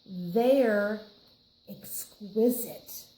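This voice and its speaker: A woman's voice: medium pitch, drawn-out words, mellow, reverberant, a little hiss or hum, poor audio. A woman with a Southern US accent reading the lines of a dramatic little girl who loves fancy things, gushing and stretching out her emphasis. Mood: enchanted.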